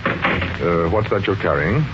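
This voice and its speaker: An actor playing a scheming, guilt-ridden widower in a 1940s radio mystery, speaking in a brooding, deliberate way. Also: male voice, deep voice, animated, very noisy. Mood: uneasy, evasive. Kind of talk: 1940s radio mystery acting, with brooding first-person narration. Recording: muffled 1940s radio recording with music.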